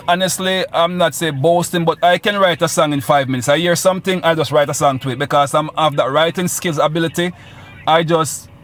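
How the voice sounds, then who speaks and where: A man with a Jamaican accent, a guest musician chatting in a radio interview, boastful and rambling with patois touches. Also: a little high.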